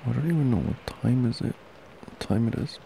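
A man doing an intimate boyfriend ASMR roleplay close to the mic, murmuring softly, drowsily and affectionately. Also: expressive, fairly quick, slight background noise. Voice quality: low, gravelly, creaky. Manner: soft, drowsy, affectionate murmuring, often breathy half-whisper. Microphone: close ASMR microphone.

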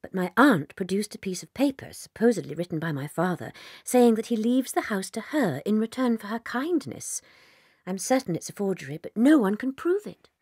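A gentle young British schoolteacher from a children's audiobook drama. Her voice is breathy and expressive, careful and a little timid, in a dry studio sound. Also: mid-range voice, normal speed, no room sound. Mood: resigned.

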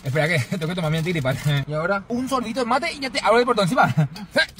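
A man's voice: high-pitched, animated, quick pace, fairly bright tone, slight background noise. A young man, fussy and giggly, repeating himself in a comic sketch filmed inside a car.

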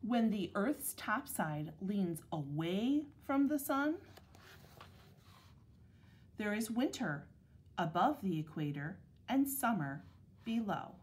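A woman teacher reading a picture book aloud to young children, with measured, deliberate phrasing. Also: mid-range voice, very animated.

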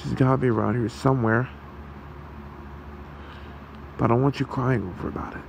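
A man voicing a gentle, protective fox creature in an ASMR roleplay, with a soothing, halting, gravelly delivery. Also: mid-range voice, fairly even intonation, slight background noise, natural voice. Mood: comforting. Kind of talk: ASMR roleplay, soft comforting talk.

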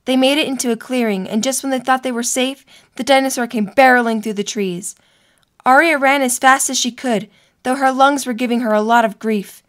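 A woman's voice: high-pitched, steady tone, brisk. A woman narrating a scripted story for an audio drama.

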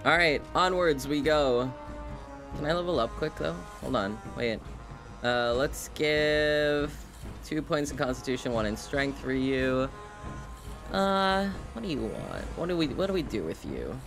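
A man's voice: mid-range voice, lively intonation, unhurried. A man giving casual, informal live commentary as a game streamer, musing aloud to himself. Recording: game music behind the voice.

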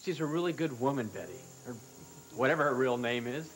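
A man's voice: medium pitch, faint music behind, natural voice, rough recording. An actor playing a troubled young man on a 1990s daytime soap who dodges his father and speaks defensively, stumbling over his words. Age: young adult. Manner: defensive, halting, restarts. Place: indoor studio set.

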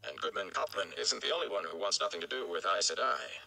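A man's voice: sits low, lively intonation, fast talker, creaky, sharp tone, heavily acted. A man voicing a cagey old gleeman in a storybook, reluctant and cautioning. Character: cagey old male gleeman, warning a boy.

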